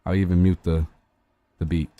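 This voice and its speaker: A man with a fairly low voice talks through a music mix step by step. His delivery is relaxed and explanatory, with fillers and restarts as he thinks aloud. The sound is close and dry, with no room around it. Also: normal speed, no room sound. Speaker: host demonstrating music mixing. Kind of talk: casual tutorial walkthrough.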